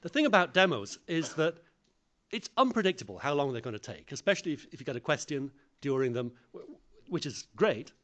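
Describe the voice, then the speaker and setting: A man's voice: on the high side, big pitch swings, a little fast, fairly bright tone. A man giving a conference talk on public speaking, self-deprecating and plainly phrased. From English-speaking country.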